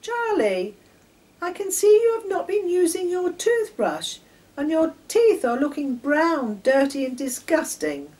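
A woman's voice: very high-pitched, big pitch swings, unhurried, a little mellow, pitched above the performer's own voice, heavily acted. A woman voicing a superior, nagging young girl from a storybook, scolding and lecturing emphatically. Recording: distant mic, noticeable background noise.

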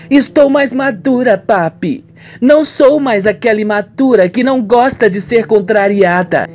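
A woman playing a headstrong, scheming young daughter in an amateur Brazilian radio soap, with emphatic, drawn-out phrasing. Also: fairly high pitch, a little mellow.

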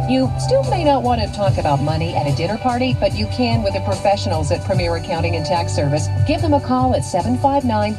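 A woman's voice: mid-range voice, low-quality recording. A woman delivering a scripted voice-over for a local radio advertisement.

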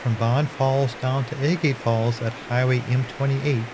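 A man giving calm, scripted narration about a river and its fishing access. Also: medium pitch, measured pace, noisy background.

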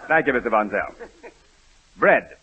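A man's voice: mid-range voice, animated, a little slow, noisy background, lightly acted. A man playing a formal, condescending doctor in a 1930s radio comedy. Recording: muffled old radio broadcast.

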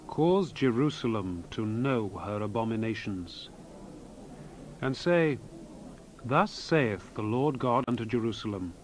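Man acting a grave, slow-spoken biblical prophet. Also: mid-range voice, a little hiss or hum, close to an everyday voice. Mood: stern. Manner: grave, declamatory, archaic diction. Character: solemn male prophet speaking God's grieved reproach. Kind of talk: dramatized scripture reading.

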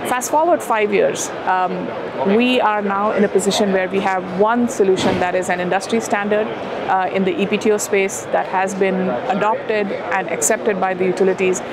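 A woman's voice: mid-range voice, fairly even intonation, moderate pace, heavy background noise. A middle-aged woman in a promotional interview, speaking as a company sales executive into a lapel mic. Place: indoor trade show booth. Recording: busy expo hall murmur behind.

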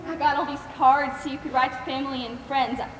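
A woman's voice: high voice, steady tone, fast, soft background music, lightly acted. A woman acting a kind, devout Southern caregiver in a school stage play, telling stories in a folksy, tender way. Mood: warm, reflective. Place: large theater stage, recorded by far camera. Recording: echoey theater stage from far off, noisy.

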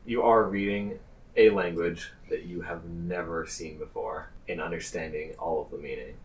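Low-voiced male game master, off mic. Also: fairly even intonation, moderate pace. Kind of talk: narrating a tabletop role-playing game. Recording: distant mic with faint noise.

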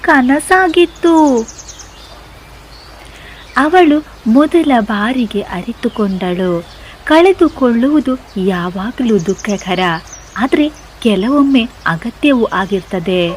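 Female narrator for a kids' moral tale: unhurried, fairly high-pitched, scripted storytelling. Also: animated, darkish tone, slight background noise.

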